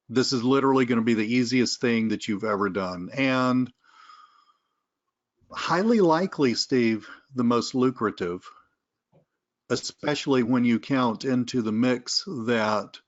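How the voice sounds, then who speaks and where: A man co-hosting a webinar, talking through a sales pitch in a chatty, persuasive way with lots of fillers. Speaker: webinar co-host. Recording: dull lo-fi webinar audio.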